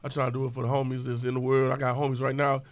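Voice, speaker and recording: A man speaks quickly and earnestly, leaning on street slang as he makes his points. His voice is dry and close, with no room sound around it. The delivery stays conversational and emphatic. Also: mid-range voice, monotone, no echo. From Houston, Texas. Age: 40s. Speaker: podcast guest, club owner. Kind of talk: casual conversation.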